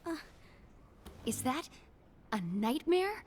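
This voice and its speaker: A woman playing a sweet, curious young apprentice in a fantasy game cutscene, wondering and soft in manner. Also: high voice, sing-song, takes it slowly, a little hiss or hum, exaggerated voice. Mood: wondering, hesitant. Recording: game cutscene with music behind.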